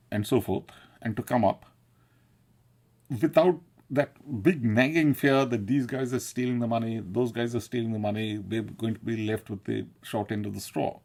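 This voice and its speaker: A man's voice: mid-range voice, vocal fry, a little mellow, husky. A man from South India, talking in a casual, digressive monologue, laughing often and swearing. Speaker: video essayist. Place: London.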